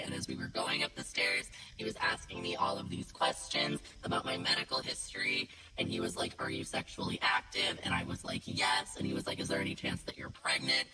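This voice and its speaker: A woman telling a casual anecdote in a deadpan, wry manner. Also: extremely low pitch, vocal fry. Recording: echoey with loud music behind.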